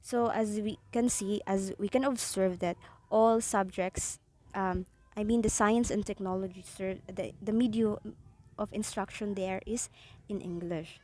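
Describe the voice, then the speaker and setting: Young Filipino-accented woman, student presenter, mellow tone, halting delivery. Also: mid-range voice, steady tone. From Philippines. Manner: halting, with frequent restarts and fillers. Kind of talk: class report.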